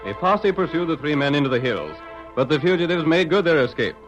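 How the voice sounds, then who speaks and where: A man's voice: on the high side, very animated, brisk, highs cut off, over loud music. A man narrating a 1930s radio drama in a dramatic announcer style.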